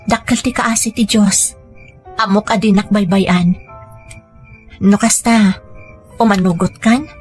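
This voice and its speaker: A woman's voice: medium pitch, fairly even intonation, slow pace, pauses often, close to an everyday voice. Woman playing an earnest Ilocano daughter over faint music. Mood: earnest, reassuring. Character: earnest married daughter and mother, humble, determined. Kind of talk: audio drama dialogue.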